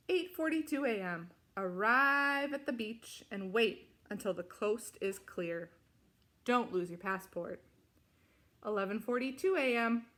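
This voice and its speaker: A woman reading a children's picture book aloud in an expressive, deliberate way with dramatic emphasis. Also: medium pitch. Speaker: storybook reader.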